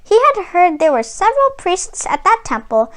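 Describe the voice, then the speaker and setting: Very high, animated woman reading a children's story. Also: moderate pace. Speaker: storyteller for a children's read-aloud channel. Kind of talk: scripted children's story read aloud.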